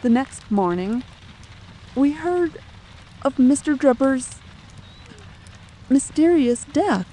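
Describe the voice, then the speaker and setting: A woman's voice: high voice, lively intonation, takes it slowly, long pauses, dark tone, poor audio. A woman playing a distressed, fearful middle-aged widow and protective mother in formal, old-fashioned phrasing for a dramatic audiobook. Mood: anxious, sorrowful. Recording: faint rain ambience behind.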